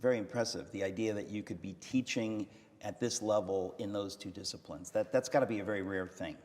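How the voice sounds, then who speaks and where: A man's voice: fairly low pitch, steady tone, a little fast, a little mellow, long reverb tail. A man hosting an interview, asking questions with frequent restarts and a playful, teasing humor. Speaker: interviewer, host. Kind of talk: conversational interview.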